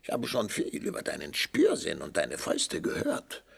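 Excitable cartoon company director, very creaky husky voice, halting, emphatic delivery. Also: male voice, fairly low pitch, heavily acted. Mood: insistent. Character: fussy, excitable male insurance director, conspiratorial. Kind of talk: animated children's audio drama acting.

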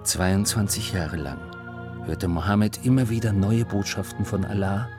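A man narrates a scripted educational audiobook for children in a measured, clearly phrased storytelling manner. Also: lowish voice, steady tone, music in the background.

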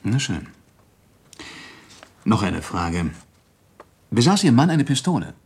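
A man's voice: fairly low pitch, sing-song, slow, a little mellow, slightly rough, full character voice. A man playing a businesslike, brusque police inspector in a radio play, questioning in a clipped manner. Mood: probing. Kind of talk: German radio play acting, interrogation dialogue.

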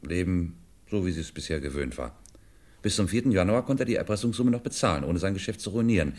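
A shrewd police commissioner in a 1950s radio drama. His voice is low and moves widely in pitch. He explains patiently and deliberately, leaning on key words with theatrical emphasis. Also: animated, darkish tone. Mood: explaining, assured.

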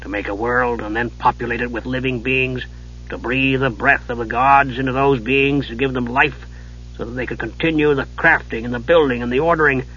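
A man voicing a gruff, weary old male dwarf, speaking low and hushed, in a dull lo-fi recording. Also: steady tone, brisk. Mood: weary, brooding.

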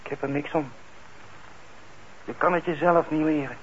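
A man's voice: a little high, normal speed, natural voice. An actor playing a troubled young man on parole in a radio drama, hesitant and defensive, stammering when he is upset. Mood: resigned. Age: young adult. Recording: dull, noisy old radio recording.

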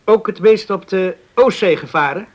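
A man's voice: high voice, moderate pace, lo-fi sound. An actor playing a friendly, modest young man from an island village in a radio drama. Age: young adult.

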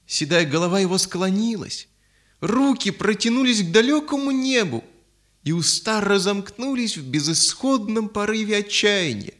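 A man narrating a children's audio fairy tale in a solemn, expressive, literary manner. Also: high voice, normal speed. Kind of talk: dramatic scripted storytelling, audio fairy tale. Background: music.

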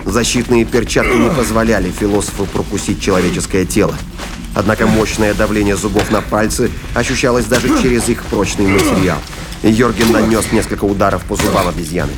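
Low-voiced male audio drama narrator. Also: fairly even intonation, fairly quick, over loud music.

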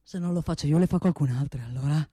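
A blustering factory boss, played by a woman in a comic theatre monologue, pitched low and recorded dry. He is pompous and self-justifying, and hammers the same phrases over and over. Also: fast, dark tone, husky, no echo. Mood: muttering, obsessive. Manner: pompous, repeats himself obsessively.

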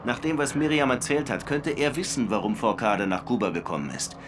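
A man's voice: mid-range voice, brisk, over music, lightly acted. An actor playing a weary, dryly sardonic police detective in his thirties in a German radio crime drama. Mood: brisk, commanding. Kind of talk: German radio crime drama, dialogue and first-person narration.

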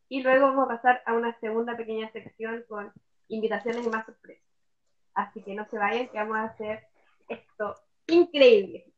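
A Chilean woman hosting a casual podcast, guiding the talk with an upbeat manner and frequent "eh" fillers. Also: fairly high pitch. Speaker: podcast host. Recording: slightly dull lo-fi sound.

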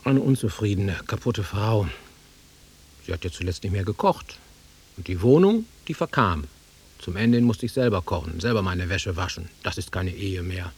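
A nostalgic middle-aged man in a radio play, with a low, husky voice that swings widely in pitch. He is insistent and touchy, dwelling wistfully on what he holds dear. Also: expressive, moderate pace, heavily acted. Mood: bitter, irritated. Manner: wistful, insistent, easily offended. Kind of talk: naturalistic radio drama acting.

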